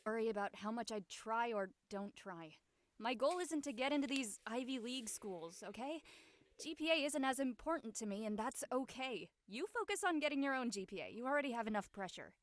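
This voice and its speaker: A woman playing a carefree, easily offended teenage schoolgirl in a drama read, sarcastic and expressive. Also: on the high side, brisk, dry recording, close to an everyday voice. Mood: firm. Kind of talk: audio drama table read acting.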